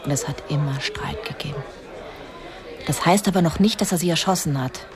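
A woman playing a self-possessed, sharp-tongued society wife in a radio drama, controlled and pointed. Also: low voice, normal speed, crowd noise, natural voice. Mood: curt, composed. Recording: music behind the voice.

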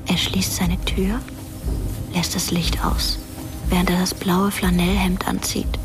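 Breathy, hushed female narrator. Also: lowish voice, level tone, slow. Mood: eerie, quiet. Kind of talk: scripted radio drama narration. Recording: radio drama with music underneath.

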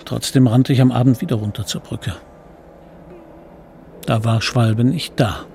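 A man playing a troubled narrator who recalls his boyhood guilt in a radio play, measured and brooding. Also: mid-range voice, steady tone, creaky, dark tone, slight background noise.

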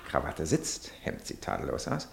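A man playing a busy, well-mannered English gentleman in a German radio play, urbane and brisk, impatient but polite. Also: very deep voice, wildly swooping pitch, creaky, noisy background, exaggerated voice. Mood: brisk, casual.

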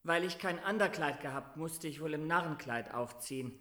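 Young captive peasant boy, echoey room, highish bright voice, naive, earnest, plain-spoken, radio drama. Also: moderate pace. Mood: earnest, defensive. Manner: simple, earnest answers. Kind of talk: radio play acting.

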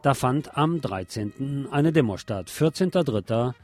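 A man's voice: medium pitch, lively intonation, a little slow, noisy background. Man reading news with sardonic asides. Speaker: radio news host. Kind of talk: news reading with opinionated commentary.

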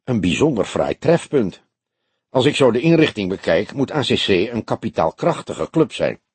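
A man's voice: on the low side, very animated, normal speed, breathy edge, no echo, exaggerated voice. A man voicing a young male detective sidekick, restless and questioning, in an audiobook. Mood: curious.

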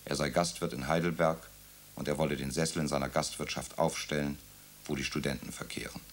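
A man narrating a radio drama in a calm, measured way. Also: extremely low pitch. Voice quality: gravelly.

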